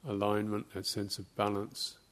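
A man giving guided meditation instruction as a teacher, speaking in short, measured phrases with long, halting pauses. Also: fairly low pitch, completely flat delivery.

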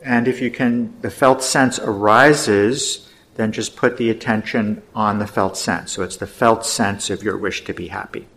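A man teaching meditation, giving gentle, unhurried guided instructions with pauses. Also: fairly low pitch, steady tone.

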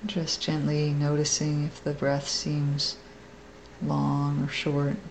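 Woman, meditation teacher, very slow pace, gravelly fry, soft gentle delivery, long pauses, guided meditation. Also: deep voice, completely flat delivery, a little hiss or hum, rough recording.

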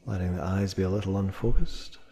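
A man with a very mellow, slightly low voice guides a meditation. He speaks gently and takes his time, leaving long pauses between short instructional phrases. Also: steady tone. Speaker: meditation teacher.

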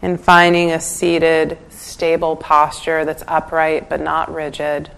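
A woman leading a guided meditation as a teacher, giving calm, gentle instructions with pauses. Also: low voice, vocal fry, clear, resonant tone, poor audio.

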